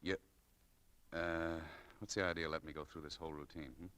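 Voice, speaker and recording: An actor playing a frank, harried head shop clerk, earnest and insistent, in 1940s radio acting style. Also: male voice, rumbling low, level tone, fairly quick, sharp tone. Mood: exasperated.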